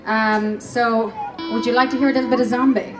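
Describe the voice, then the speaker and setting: A woman's voice: on the high side, level tone, brisk. A woman from Dublin with an Irish accent, chatting to the crowd from an outdoor amphitheater stage before her song. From Dublin, Ireland. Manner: hypes up the crowd. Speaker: open mic performer. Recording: distant echoey live recording. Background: loud crowd and guitar music.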